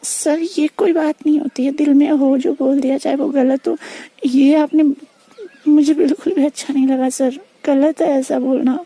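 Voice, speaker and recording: A woman's voice: high-pitched, level tone, moderate pace, warm tone, no echo. A young woman on a private phone call, speaking earnestly and politely but with insistent reproach. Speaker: student.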